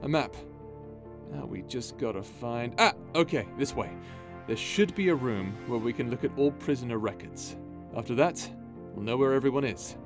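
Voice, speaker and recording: Cocky, playful pirate captain for a sci-fi audio drama, played by a man; mid-pitched, casual and teasing, light music underneath. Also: lively intonation, normal speed, lightly acted. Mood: confident, sneaky. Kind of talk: ASMR roleplay audio drama acting.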